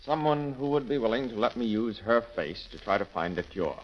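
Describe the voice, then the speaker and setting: An actor playing an intense, obsessive inventor, brusque and excitable, in 1930s radio romance style. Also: male voice, fairly low pitch, brisk. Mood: fervent. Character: intense, obsessive male inventor experimenting on skin cures. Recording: muffled, crackling 1930s radio recording.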